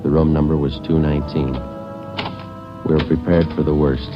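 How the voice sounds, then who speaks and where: An actor playing a terse, hard-boiled police detective who narrates a 1940s radio crime drama in a clipped, flat, matter-of-fact way. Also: male voice, rumbling low, normal speed, music in the background. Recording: dull old radio broadcast recording.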